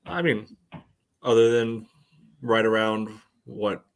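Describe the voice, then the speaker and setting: A man co-hosting a casual sports podcast, talking in a loose, halting way with lots of filler phrases. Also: lowish voice, rough recording. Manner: hesitant phrasing peppered with "you know".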